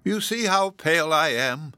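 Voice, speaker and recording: A gloomy, lonesome old woman with a stiff temper, sighing and mournful as she speaks , put on by a male audiobook reader. Her voice sits clearly above his own register. It is recorded close and very dry, with no room sound. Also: fairly high pitch, expressive, pushed and bright, dry recording, pitched above the performer's own voice. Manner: mournful, sighing, halting delivery.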